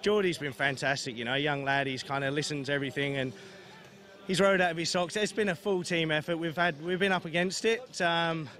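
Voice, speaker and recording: A hoarse male team captain giving a post-race interview outdoors at a stadium, breathless and elated. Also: fairly high pitch, a little fast, a bit bright.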